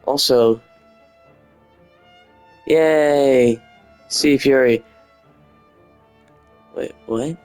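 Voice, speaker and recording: A man with a Dutch accent talks through a gaming video at a slow pace. His commentary is casual and informal, built on short emphatic phrases, with faint music underneath. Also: mid-range voice, a little mellow, clean tone. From Netherlands.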